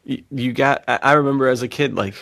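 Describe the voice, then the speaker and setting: A man co-hosting a podcast, chatting informally and reflectively in a halting way. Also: medium pitch, vocal fry, a bit bright, no room sound. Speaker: podcast co-host. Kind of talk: casual podcast chat.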